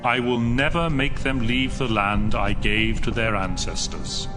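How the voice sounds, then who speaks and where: A man's voice: medium pitch, steady tone, unhurried, close to an everyday voice. Man acting as the commanding Lord God over music. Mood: solemn promise. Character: the Lord God, commanding, stern male deity. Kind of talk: dramatized scripture acting. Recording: music bed behind the voice.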